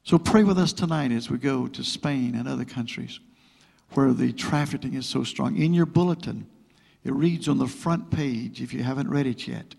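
Gravelly male preacher in reverberant church. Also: medium pitch. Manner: builds to loud rising exhortation, repeats phrases. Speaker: guest preacher. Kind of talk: pentecostal sermon with prayer. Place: church sanctuary. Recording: long reverb of a church hall.

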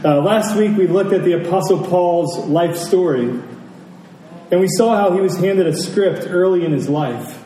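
A man preaching a sermon in a deliberate, emphatic way, with dramatic pauses between phrases. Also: high-pitched, dark tone. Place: church service, speaking to congregation. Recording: roomy echo, distant mic, faint noise.

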